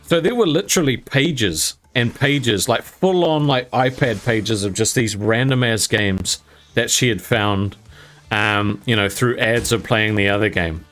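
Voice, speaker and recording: A man's voice: on the low side, measured pace, a bit bright, soft background music. A young man casually telling a story while gaming, rambling and laughing often, with some mild swearing. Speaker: gaming channel host.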